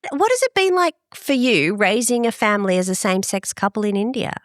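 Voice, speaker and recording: A woman's voice: medium pitch, big pitch swings, fairly quick, dry recording, clean studio sound. A woman hosting a casual interview, engaged and reactive, often ending on a tag question. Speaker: podcast host, interviewer.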